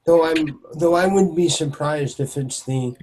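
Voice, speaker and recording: A man voicing a huge, gentle male troll knight who speaks with formal, soft-spoken courtesy. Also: on the high side, a little slow, slight background noise, natural voice, poor audio. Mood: courtly.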